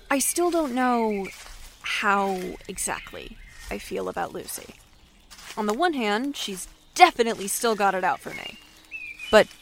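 A woman's voice: mid-range voice, gravelly, slight background noise. A woman playing a wary, stubborn investigator, grumbling to herself in an audio drama monologue. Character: wary, stubborn female investigator of strange small-town happenings.

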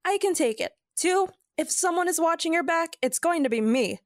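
A woman's voice: extremely high pitch, expressive, normal speed, dry recording, clean studio sound. A woman playing a feisty, stubborn young sci-fi heroine in love, bossy and emotional, in an audio drama. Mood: upset, defiant.

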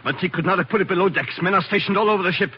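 A man's voice: sits high, rapid-fire, breathy edge. Anxious Frenchman, old radio drama. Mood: urgent, panicky. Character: excitable Frenchman aboard ship, anxious, courteous ally. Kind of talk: 1940s radio acting. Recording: noisy, dull 1940s radio recording.

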